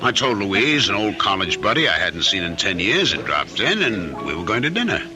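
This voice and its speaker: A man's voice: on the low side, big pitch swings, normal speed, music in the background. An actor playing a resentful, insecure middle-aged man in an old-time radio drama. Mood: nostalgic, confiding.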